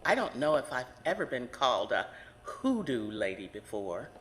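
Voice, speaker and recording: An actress playing a grave, self-assured psychic who works with murder investigators in an audio horror drama. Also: female voice, extremely low pitch, sing-song, moderate pace. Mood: dry, self-assured. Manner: grave, insistent, dry retorts. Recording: very reverberant, with noise behind.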